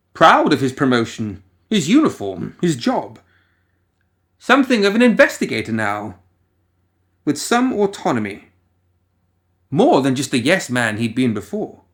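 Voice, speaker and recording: Expressive higher-voiced male storyteller. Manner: theatrical, musing, rhetorical asides and deliberate pauses. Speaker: audio fiction reader. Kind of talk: dramatic scripted story narration.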